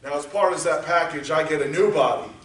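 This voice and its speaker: A man preaching a sermon, moving from quiet teaching up to shouted exhortation. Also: on the high side, a little fast. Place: church sanctuary. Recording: echoey church hall, voice from far off.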